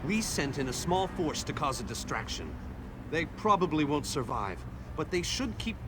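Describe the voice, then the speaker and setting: Earnest, expressive war leader. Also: male voice, fairly high pitch, moderate pace, background noise. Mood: grave urgency. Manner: solemn, formal. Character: earnest male war leader rallying allies. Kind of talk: video game voice acting.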